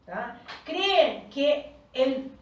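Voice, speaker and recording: A woman's voice: high voice, very animated, dull audio, a little hiss or hum. A woman coach, a native Portuguese speaker, teaching a group in Spanish and often checking in with her listeners. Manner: explains slowly, often checking in with "¿tá?". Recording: echoey room, far from the mic.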